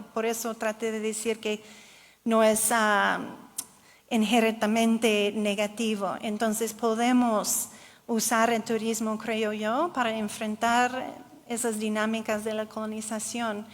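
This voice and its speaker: A woman giving a keynote talk, answering audience questions in careful Spanish that is not her first language, with restarts. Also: a little high. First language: English. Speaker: keynote speaker. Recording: long hall reverb.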